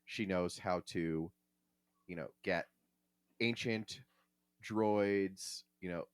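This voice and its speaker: Low-voiced man, dry close recording. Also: very slow, clear, resonant tone, dry recording. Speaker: podcast co-host. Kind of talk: casual explanatory discussion.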